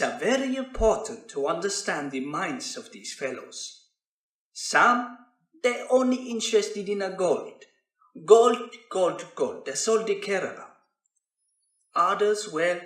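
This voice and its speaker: A man's voice: high voice, expressive, measured pace, fairly bright tone, reverberant. A man voicing a chatty, cynical old-hand adventurer in a fantasy monologue, rambling in folksy, ungrammatical speech. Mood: knowing, mocking. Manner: folksy, rambling, nonstandard grammar. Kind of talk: character monologue demo.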